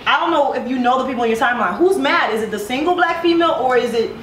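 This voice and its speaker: A woman from Brooklyn with a somewhat high voice asks pointed questions, often restarting her phrases. She sounds distant in an echoey room with noise behind her. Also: lively intonation, racing, crowd noise. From Brooklyn, New York. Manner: questioning, probing. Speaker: panelist, content creator. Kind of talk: casual group discussion.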